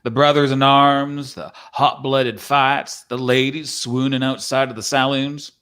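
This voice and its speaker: A man's voice: medium pitch, little pitch movement, unhurried, a bit bright, smooth, natural voice. Man playing a folksy, wistful old-time cowboy. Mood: playful, reminiscing. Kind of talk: dramatic script reading.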